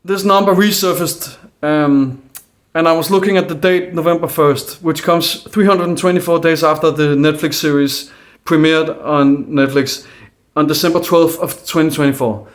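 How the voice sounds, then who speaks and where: Man with a Danish accent, slightly high voice, unscripted to camera, stumbling and restarting but calm. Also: normal speed. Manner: hesitant, many fillers and restarts; calm, earnest. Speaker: independent video maker. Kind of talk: casual monologue to camera.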